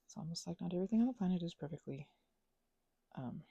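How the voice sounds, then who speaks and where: A woman's voice: lowish voice, expressive, fast, gravelly, dark tone, husky, dry recording, lo-fi sound. A woman chatting casually in an ASMR craft ramble, whimsically talking to herself and often drifting into whispers.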